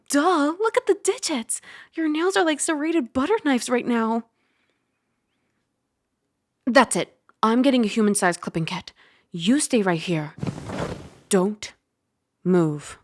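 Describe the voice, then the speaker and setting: A woman playing a caring, teasing young dragon girl roommate in a soft-spoken ASMR roleplay, gentle and conversational on a close mic. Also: high voice, dry recording. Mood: alarmed scolding. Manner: gentle, playful, conversational, with sighs and hushed asides. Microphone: close microphone.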